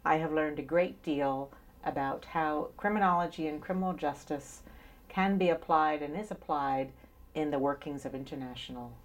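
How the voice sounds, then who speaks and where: A woman's voice: sits low, moderate pace. A middle-aged woman introducing an interview in a formal manner, reading from notes. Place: small indoor interview set.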